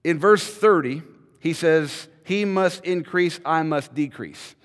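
Pastor preaching in a reverberant hall. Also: male voice, on the high side, slow. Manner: emphatic, repeats words as he builds a point. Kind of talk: sermon. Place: large church sanctuary, indoors. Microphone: podium mic, filmed from a far camera.

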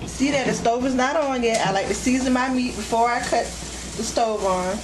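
A woman's voice: on the high side, moderate pace. A woman hosting a home cooking demonstration, giving plain step-by-step instructions as she works at the stove. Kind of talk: hands-on cooking demonstration narration. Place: indoors, home kitchen at the stove. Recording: echoey kitchen, loud sizzle and stove noise.

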